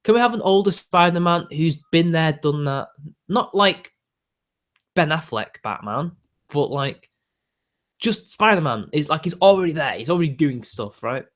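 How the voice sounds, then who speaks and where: A man's voice: fairly high pitch, animated, normal speed, fairly bright tone. A British man in his twenties with a young-sounding voice, chatting casually and ranting to his viewers with lots of fillers. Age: 20s. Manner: ranty, exasperated, filler-heavy. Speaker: YouTube host.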